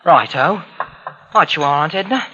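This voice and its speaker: Vintage radio thriller lead: young man, high sharp voice, guilt-ridden, stammering and agitated. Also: lively intonation, normal speed, dull audio, a little hiss or hum. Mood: uneasy. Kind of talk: old-time radio drama acting and confessional narration.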